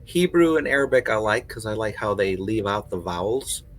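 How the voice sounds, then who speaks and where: A man in casual podcast conversation, telling stories in an animated way with plenty of conversational fillers. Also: on the low side, normal speed, a little hiss or hum. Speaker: podcast panelist. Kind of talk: casual chat.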